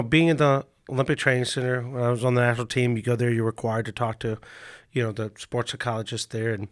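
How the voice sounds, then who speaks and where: Man with a froggy, cold-roughened voice. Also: mid-range voice, no echo, clean studio sound. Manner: relaxed storytelling with many restarts. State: hoarse from a cold. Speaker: mental management coach. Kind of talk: casual podcast chat.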